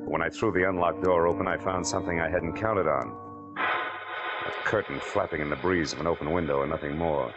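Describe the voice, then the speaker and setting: A man plays a hard-boiled American private eye from a vintage radio noir. His voice is deep, his delivery terse and sardonic. Clear music plays behind him. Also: lightly acted, poor audio. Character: wry, hard-boiled male private detective. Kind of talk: 1940s radio noir narration and acting.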